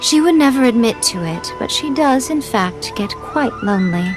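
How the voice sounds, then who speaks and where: Woman voicing a sly, highish-voiced elegant priestess. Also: expressive, music in the background, close to an everyday voice. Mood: knowing, teasing. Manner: playful, condescending, unhurried. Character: sly, elegant, teasing female shrine priestess. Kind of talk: video game voice acting.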